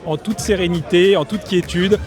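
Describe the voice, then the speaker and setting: A man's voice: sits high, fairly even intonation, normal speed. A man speaking as a local official in promotional interview answers. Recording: music behind the voice. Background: background music.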